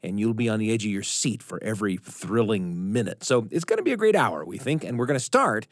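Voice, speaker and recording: A man hosting a radio show, reading scripted introductions with deliberate, emphatic phrasing and dramatic pauses. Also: lowish voice, very animated, no room sound, clean studio sound.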